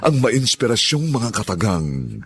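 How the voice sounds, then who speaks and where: A man's voice: on the low side, big pitch swings, measured pace. A man announcing a radio drama in a solemn, grandiose, scripted style. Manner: theatrical, grandiose.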